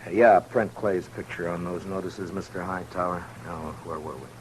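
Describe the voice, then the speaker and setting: An actor playing a weary, principled American Old West marshal in a radio western, speaking in a dry, measured, quietly sardonic way. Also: male voice, deep voice, steady tone, lightly acted. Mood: businesslike. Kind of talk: 1950s radio western acting. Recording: noisy old 1950s radio recording.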